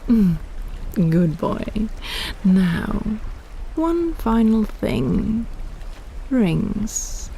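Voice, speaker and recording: A woman's voice: mid-range voice, sing-song, very slow, creaky, pauses often, mellow, slight background noise, exaggerated voice, poor audio. A woman playing a possessive, teasing kraken in an intimate roleplay audio drama, coaxing and condescending as she schemes. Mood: satisfied, doting.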